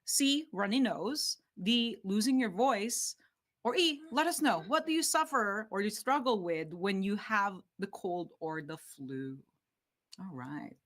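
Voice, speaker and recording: A woman's voice: mid-range voice, expressive, unhurried, a bit bright. A woman co-hosting a live radio talk show, reading listener questions and comments aloud in a friendly, casual way.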